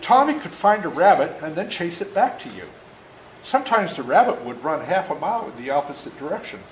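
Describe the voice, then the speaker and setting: Older male author for children's read-aloud, folksy and expressive, slightly high voice, roomy sound. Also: brisk. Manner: animated, dramatic emphasis, folksy explanations. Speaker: author reading his own book to schoolchildren. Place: indoor room at a school. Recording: echoey room with faint background noise.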